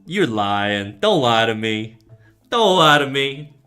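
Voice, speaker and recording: A young Canadian man with a bright, forward voice rambles through a laid-back streaming chat, tripping over his words and swearing freely. Soft music sits faintly behind him. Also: medium pitch, very animated, slow pace, smooth. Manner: rambling, swears often, many fillers and restarts. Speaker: art streamer. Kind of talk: casual livestream chat reading chat aloud.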